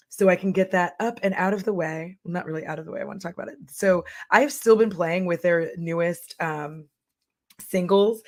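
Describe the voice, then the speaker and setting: Hoarse, chatty woman streamer, low voice. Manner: chatty, candid, rambling with fillers. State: hoarse, losing her voice. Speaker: streamer and beauty commentator. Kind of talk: casual livestream chat.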